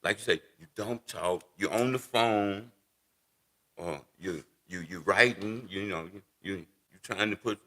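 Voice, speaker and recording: A man's voice: deep voice, unhurried, a bit bright. A man in his sixties, a guest in a casual interview, talking unhurriedly and repeating words as he thinks. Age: 60s.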